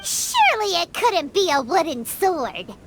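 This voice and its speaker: An actress voicing a tiny childlike fairy companion in a video game, cutesy and naive, with a squeaky voice. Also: female voice, sing-song, a little slow, slight background noise, heavily acted. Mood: curious, doubtful. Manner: cutesy, speaks of herself in third person. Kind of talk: cartoonish video game voice acting.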